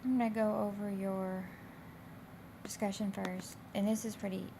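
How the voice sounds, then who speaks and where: Raspy, flat-toned woman instructor. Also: mid-range voice, normal speed. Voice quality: slightly raspy. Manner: casual, loosely phrased, conversational. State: slightly hoarse voice. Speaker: college instructor. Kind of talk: informal explanation of course assignments. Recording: clear background noise.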